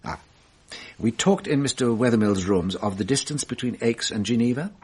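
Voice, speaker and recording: An actor playing a shrewd, self-assured French detective in a radio drama, musing deliberately with wry amusement. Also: male voice, mid-range voice, animated, darkish tone, breathy edge. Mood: musing, animated.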